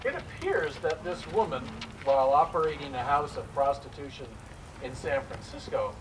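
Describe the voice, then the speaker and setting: A man's voice: a little high, expressive, moderate pace, natural voice. A man playing a stern, suspicious immigration interrogator of the 1910s, formal and probing, in a dramatic reading. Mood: accusing. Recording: distant microphone in noisy live room.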